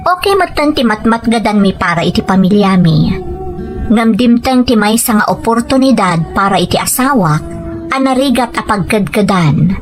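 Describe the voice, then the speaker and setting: A woman's voice: mid-range voice, lively intonation, moderate pace, faint music behind, lightly acted. A woman playing a determined wife and mother in a dramatized story, earnest and persuasive. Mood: reflective, troubled. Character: determined wife and mother set on working abroad. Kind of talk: dramatized first-person storytelling with dialogue.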